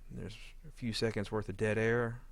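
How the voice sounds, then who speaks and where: Guy with a deeper voice explaining audio editing, kinda stop and start, thinking out loud, no room echo. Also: little pitch movement, vocal fry. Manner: halting, thinking aloud while working. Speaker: audio drama editor giving a tutorial. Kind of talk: informal software walkthrough.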